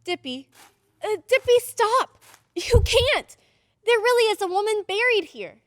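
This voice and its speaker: A woman's voice: very high voice, very animated, a little slow, fairly bright tone, no room sound, studio quality, heavily acted. A woman playing an earnest, insistent ten-year-old girl in an old-time radio drama, urgent and pleading.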